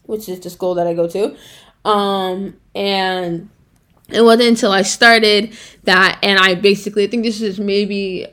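A young woman hosting a casual solo podcast, chatty and rambling with lots of fillers, her voice a little rough from a cold. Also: medium pitch, fairly even intonation, a little slow, fairly bright tone. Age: young adult. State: has a cold, throat clearing. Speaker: podcast host.

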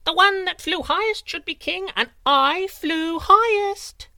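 A man voicing a small, boastful female wren in a storybook tale, eager and shrill. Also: a little slow, bright, forceful tone, no echo, studio quality, full character voice.

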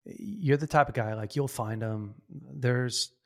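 A man's voice: mid-range voice, slow, vocal fry. A man with an ordinary mid-range voice hosts a casual podcast chat. He backs up his guest with easy, encouraging remarks and calls him bro.

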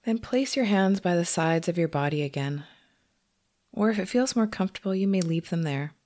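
Low-pitched woman guiding a meditation. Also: animated. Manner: gentle, unhurried, with long pauses. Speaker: meditation teacher. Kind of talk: guided meditation.

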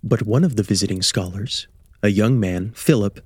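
A man's voice: on the low side, normal speed, darkish tone, husky, dry recording, clean studio sound. A man reading scripted story narration as the narrator of an audio drama.

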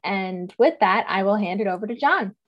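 A woman's voice: medium pitch, expressive, normal speed, dull audio. Woman moderating a webinar. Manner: friendly, many "um" fillers. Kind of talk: hosting a webinar.

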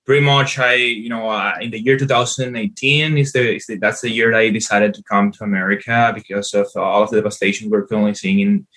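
Halting Venezuelan man with bright voice. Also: on the low side, fairly even intonation, rough recording. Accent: Venezuelan Spanish. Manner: halting, many fillers and restarts. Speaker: guest. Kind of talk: podcast interview answer.

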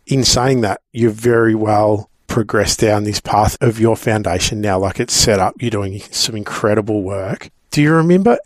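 Aussie guy interviewer, lowish voice, matey and encouraging, dry close sound. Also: dry recording. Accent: Australian. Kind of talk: casual interview.

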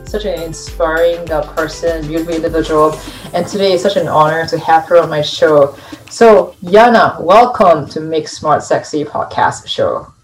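A woman's voice: sits low, normal speed, poor audio. A woman hosting a podcast, welcoming and effusive as she introduces and questions her guest. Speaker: podcast host. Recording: faint music behind.

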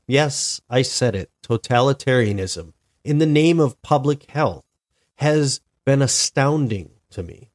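Male podcast host, mid-pitched, congested, reflective solo monologue. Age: adult, married. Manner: deliberate, reflective, with frequent restarts. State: stuffy, sick with a cold-like illness.

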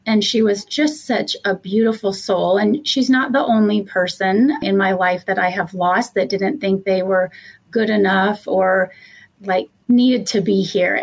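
A woman in her fifties, a coach for mothers, speaking as a podcast guest in an earnest, heartfelt way with many "you know"s. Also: mid-range voice. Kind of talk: casual interview talk.

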